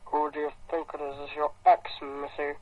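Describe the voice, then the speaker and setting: Brief: creepy ex-boyfriend caller, low and threatening, played by a woman, dull lo-fi line. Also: level tone, normal speed, a little hiss or hum. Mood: menacing. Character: menacing male ex-boyfriend stalker caller.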